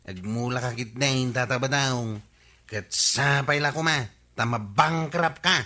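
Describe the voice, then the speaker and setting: Forceful, needling Ilocano villager. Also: male voice, mid-range voice, measured pace. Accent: Filipino, Ilocano. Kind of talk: radio comedy drama acting.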